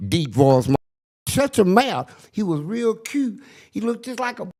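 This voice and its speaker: A man's voice: high voice, unhurried. An older man, a guest in a casual interview, telling crude, boastful stories and acting out what others said. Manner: crude, boastful, animated storytelling with acted-out quotes.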